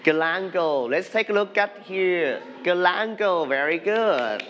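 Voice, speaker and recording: A male English teacher in a studio classroom, slowly leading a televised lesson and having students repeat words after him. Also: high voice, expressive, muffled recording, music in the background.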